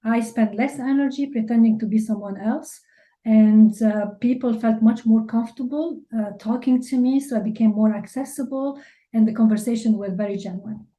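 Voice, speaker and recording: A woman's voice: on the high side, moderate pace, dark tone, low-quality recording. A woman from Lebanon with a Lebanese accent, answering interview questions candidly and informally, often saying "like". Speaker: interview guest, business leader and mentor.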